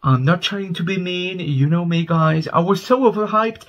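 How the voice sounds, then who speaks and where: Chatty French-accented young man, higher voice. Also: moderate pace, lo-fi sound. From France. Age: young adult. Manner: chatty and earnest, gets loud and excited over the music. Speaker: music reaction host. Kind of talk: casual reaction chat to camera. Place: small bedroom, indoors. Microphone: webcam at desk, wearing earbuds.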